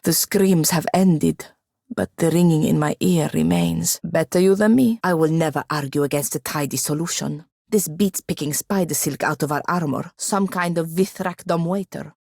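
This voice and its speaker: Haughty sharp-tongued lady wizard for a video game, low voice, dry and disdainful, really close dry sound. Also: no room sound. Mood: weary, then sardonic. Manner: dry, disdainful, articulate delivery. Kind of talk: video game character dialogue.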